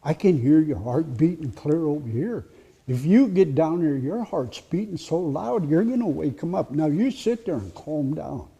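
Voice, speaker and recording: A man doing a steady old raider, firm and low, gravelly dark voice. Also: normal speed, lo-fi sound, exaggerated voice. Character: calm seasoned older male horse raider.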